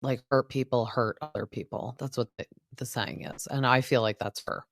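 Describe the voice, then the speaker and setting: Low-voiced woman podcast host. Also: steady tone, no room sound, studio quality. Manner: supportive, quick to agree. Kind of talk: casual gossip chat.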